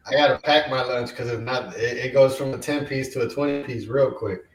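A man from Colombia co-hosts a casual podcast and rambles easily through his stories. His voice is of middling pitch. He sits away from the mic, and the room adds a clear echo. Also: fairly quick, distant mic, very noisy. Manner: easygoing, rambling. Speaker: podcast co-host. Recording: roomy and off-mic.

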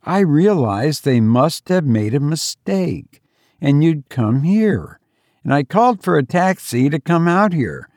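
A man's voice: on the high side, sing-song, slow, darkish tone, dry recording, clean studio sound, voice pushed up. A man voicing a youthful college-age son in a story reading, earnest and apologetic. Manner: clear, earnest.

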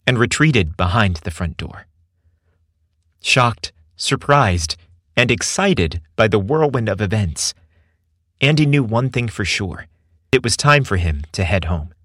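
Low-pitched male storyteller, dry sound. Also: animated, unhurried, a bit bright, dry recording. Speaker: narrator and storyteller. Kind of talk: scripted narration of a romantic story.

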